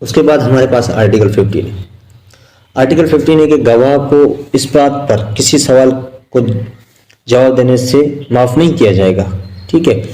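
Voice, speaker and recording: A man with a Pakistani accent lecturing on exam material, explaining step by step in a halting, repetitive way with simple examples. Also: medium pitch, mellow, poor audio. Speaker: law lecturer.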